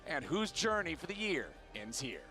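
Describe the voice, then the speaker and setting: A man's voice: fairly high pitch, big pitch swings, normal speed, pushed and bright, soft background music. A man casting an esports match, with polished broadcast delivery. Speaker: esports caster. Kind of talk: play-by-play commentary.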